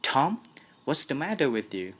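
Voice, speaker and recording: A man's voice: medium pitch, very animated, unhurried, fairly bright tone, slightly rough, voice pushed up, full character voice. A man voicing an alarmed, sceptical old aunt in an audiobook story, scolding as she questions.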